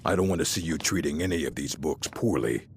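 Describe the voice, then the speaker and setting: An actor voicing a stern, grumpy old orc librarian in a fantasy game, gruff and contemptuous, with a gravelly voice. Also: male voice, a bass voice, lively intonation, fairly quick, slight background noise. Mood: stern, threatening. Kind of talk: fantasy video game voice acting.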